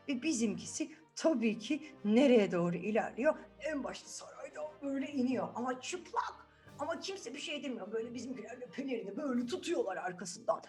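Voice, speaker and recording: A woman telling fairy tales to children in a playful, chatty way, often checking in with her listeners. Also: sits high. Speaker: children's storyteller, host. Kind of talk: animated fairy tale narration. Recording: music behind the voice. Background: music.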